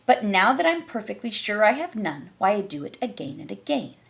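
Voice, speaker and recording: A woman's voice: medium pitch, very animated, fairly quick, creaky. A woman voicing a spry, boastful old man in a recited comic poem.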